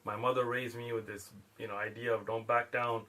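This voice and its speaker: A man's voice: mid-range voice, flat delivery, a little fast, bright, forceful tone. A middle-aged man, born in Pakistan, telling stories in a casual chat and laughing often. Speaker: guest. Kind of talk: casual storytelling.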